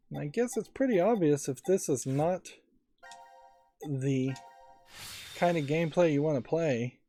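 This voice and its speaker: A man giving casual, analytical gameplay commentary as a let's play host, musing in a measured way. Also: medium pitch, warm tone. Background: game music.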